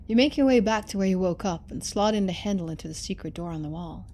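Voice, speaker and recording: A woman's voice: mid-range voice, quick pace, warm tone, a little hiss or hum. A woman game streamer reading a visual novel aloud, switching into playful, chatty live commentary.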